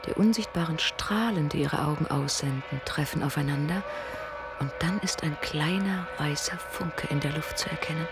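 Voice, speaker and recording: A woman's voice: deep voice, moderate pace. Female storyteller, music behind. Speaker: narrator. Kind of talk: literary radio play narration. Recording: music under the voice.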